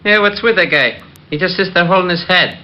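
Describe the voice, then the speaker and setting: An actor playing a sneering small-time thug in an old-time radio crime drama. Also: male voice, sits high, fairly quick, pushed and bright. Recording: dull, very noisy vintage radio.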